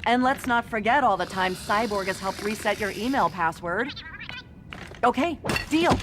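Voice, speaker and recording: A woman playing a feisty, persuasive newspaper reporter in an animated video game, arguing insistently. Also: mid-range voice, moderate pace, noisy background, natural voice.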